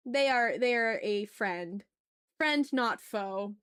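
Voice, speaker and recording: A woman's voice: on the high side, slow, a bit bright, clean tone, no room sound, clean studio sound. A woman chatting casually and playfully with her viewers as she hosts a live art stream. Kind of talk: casual livestream chat while drawing.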